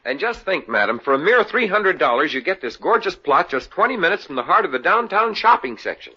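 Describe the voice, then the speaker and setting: A man's voice: fairly high pitch, big pitch swings, a little fast, bright, forceful tone, slightly rough, soft background music, full character voice. An actor playing an eager, bumbling young salesman in a 1950s radio comedy. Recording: dull lo-fi old radio broadcast.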